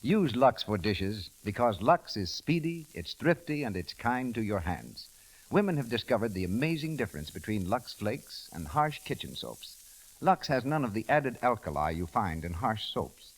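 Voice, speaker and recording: A man's voice: lowish voice, very animated, normal speed, husky, poor audio. A man reading scripted 1930s radio commercials as a polished, persuasive announcer.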